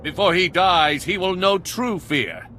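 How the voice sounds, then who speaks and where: A man's voice: sits high, expressive, measured pace, bright, forceful tone, a little hiss or hum, exaggerated voice. A man voicing a fierce, bombastic alien warrior in a video game, declaiming with menace. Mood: menacing, outraged. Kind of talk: video game cutscene dialogue.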